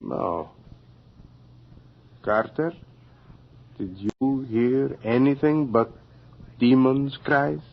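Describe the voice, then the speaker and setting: An actor playing a merciless, zealous vampire slayer, commanding and declamatory, in a radio horror drama. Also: male voice, mid-range voice, very slow, vocal fry, pauses often, full character voice. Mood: grim. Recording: muffled radio recording, crowd behind.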